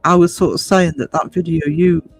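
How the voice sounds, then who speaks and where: A man's voice: fairly high pitch, little pitch movement, moderate pace, soft background music. A man in his fifties hosting a livestream, chatting casually and reading out comments, hesitant and thoughtful as he rephrases. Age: 50s. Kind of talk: casual discussion.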